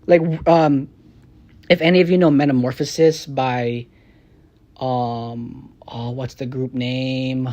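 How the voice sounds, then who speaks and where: A man's voice: fairly even intonation, slow, darkish tone. Young man ranting profanely on stream. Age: young adult. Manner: profane, frustrated, rambling; swings between low mutters and raised outbursts. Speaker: streamer and rapper. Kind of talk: rambling live-stream rant.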